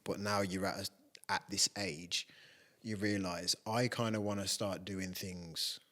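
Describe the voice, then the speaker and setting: A man in his late thirties, a podcast co-host, speaking reflectively and trailing off softly. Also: deep voice, steady tone, a little slow. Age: 30s. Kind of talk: casual chat.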